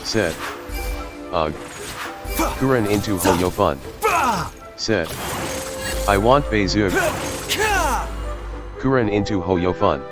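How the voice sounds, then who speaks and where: A man's text-to-speech voice reading out stream chat messages in a flat style. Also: lowish voice, slow pace, long pauses, over loud music.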